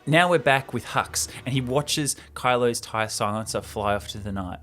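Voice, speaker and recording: Casual male host with mid-pitched voice. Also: moderate pace, bright, forceful tone, soft background music. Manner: informal, explanatory. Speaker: host retelling a film script. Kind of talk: casual retelling and commentary.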